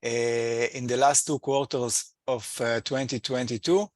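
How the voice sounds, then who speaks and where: A man delivers a prepared financial presentation in a mid-range voice with a dry, close sound. His delivery is halting and hesitant, with slightly non-native phrasing, as he works through figures like a company executive. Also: medium pitch, steady tone, dry recording. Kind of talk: prepared earnings call presentation.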